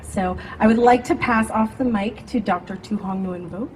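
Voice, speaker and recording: A woman's voice: mid-range voice, moderate pace, a little hiss or hum, rough recording. A woman who is a lecturer and performer introducing herself on a panel in a bubbly, enthusiastic way, with the odd laugh. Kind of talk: upbeat panel self-introduction. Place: outdoor campus courtyard.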